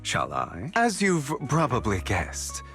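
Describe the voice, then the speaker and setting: An actor voicing a suave, sly gentleman rogue in a video game, speaking with polished, teasing courtesy and coy pauses. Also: medium pitch, huge pitch swings, heavily acted. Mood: sly, knowing. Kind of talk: video game character dialogue. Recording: music under the voice.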